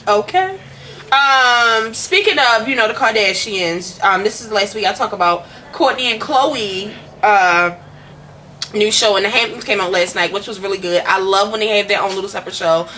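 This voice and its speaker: A woman's voice: mid-range voice, moderate pace, sharp tone, slight background noise, rough recording. A woman hosting a casual celebrity gossip vlog, blunt, profane and sassy in how she talks.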